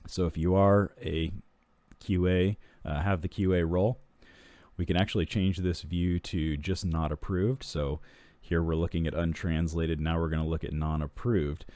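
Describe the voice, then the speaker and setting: A man with a low, dark voice talks through a software tutorial over a screen recording. He explains each step at an easy pace, pausing with ums and restarting sentences. The sound is dry and close to the mic. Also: flat delivery, no echo. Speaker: software product walkthrough presenter.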